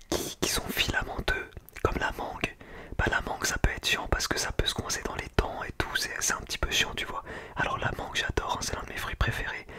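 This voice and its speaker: An ASMR creator, speaking in a breathy, unvoiced whisper in an informal, slangy, chatty way while reviewing food. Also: extremely high pitch. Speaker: ASMR video creator and host.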